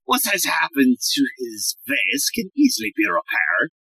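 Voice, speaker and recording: An actor playing a stubborn, cocksure detective inspector in a comic audio play, theatrical and self-assured, with a rough voice. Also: male voice, fairly high pitch, huge pitch swings, measured pace, bright, forceful tone, no echo, heavily acted. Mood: smug.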